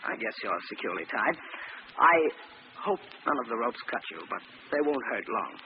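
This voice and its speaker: A man playing a cold-blooded, gloating villain scientist with mock-courteous, deliberate speech in 1940s radio drama style. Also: medium pitch, big pitch swings, close to an everyday voice. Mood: politely menacing. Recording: muffled, noisy old radio recording.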